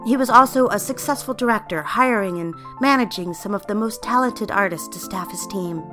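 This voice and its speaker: A woman playing a refined, smitten young career woman in a mid-century period audio drama, speaking with measured, demure poise. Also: mid-range voice, pushed and bright, natural voice. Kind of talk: period audio drama with first-person narration.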